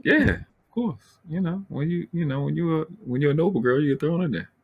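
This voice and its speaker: A man's voice: fairly high pitch, animated, a little fast, slightly rough, narrow, tinny audio. A man hosting a casual live stream, chatting in a slangy, conversational way. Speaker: live show host. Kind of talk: casual livestream chat.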